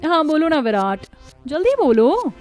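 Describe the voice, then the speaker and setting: A woman's voice: high-pitched, sing-song, a little slow, a little mellow, faint music behind, pitched above the performer's own voice. A woman voicing a conceited, brash young college woman in a cartoon story, haughty and teasing. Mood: impatient. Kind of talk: Hindi cartoon story voice acting.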